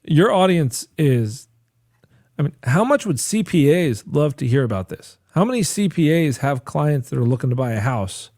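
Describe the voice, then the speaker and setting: A man's voice: fairly high pitch, very animated, moderate pace, a little mellow, dry recording, clean studio sound. A young man co-hosting a mortgage podcast, explaining things in an easygoing, joking way. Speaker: podcast co-host, loan officer. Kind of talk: casual chat.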